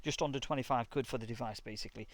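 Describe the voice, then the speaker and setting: A man who runs a repair workshop, talking casually and haltingly while testing an earpiece mic as he walks around his workshop. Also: medium pitch, no room sound. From England. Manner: rambling and hesitant, many fillers and "basically". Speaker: repair shop owner reviewing a product. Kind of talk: casual product test vlog. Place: indoor workshop, walking between rooms. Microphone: wireless earpiece, later a wired headset.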